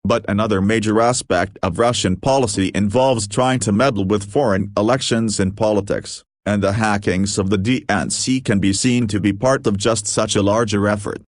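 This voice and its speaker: A man narrating a scripted news analysis in a steady, formal read. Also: fairly low pitch, normal speed, few pauses, no echo, studio quality.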